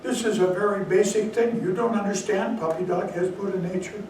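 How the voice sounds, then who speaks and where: A man with a fairly high voice tells a Zen teaching story, leaning hard on key words. He sounds far from the mic, his voice ringing through a very echoey hall with faint room noise. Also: fairly even intonation, brisk, darkish tone. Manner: storytelling, bursts of emphasis. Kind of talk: dharma talk.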